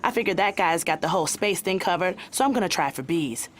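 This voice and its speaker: A woman plays a brash office manager in an audio sitcom. Her lowish voice is blunt and slangy, the sound of an unbothered hustler. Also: animated, fast talker, a little hiss or hum, lightly acted. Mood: nonchalant.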